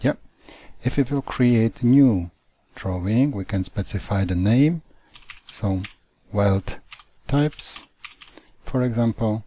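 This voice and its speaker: A man who does not speak natively, teaching CAD software in a halting, careful way over a screen recording. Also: fairly low pitch. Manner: slow, halting phrasing with non-native grammar.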